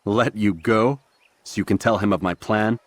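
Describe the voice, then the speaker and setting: An actor playing a cold, menacing assassin in a video game, terse, calm and threatening. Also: male voice, fairly low pitch, normal speed, no echo, lightly acted. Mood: cold menace. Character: cold, menacing young assassin interrogating a captive. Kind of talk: video game cutscene acting.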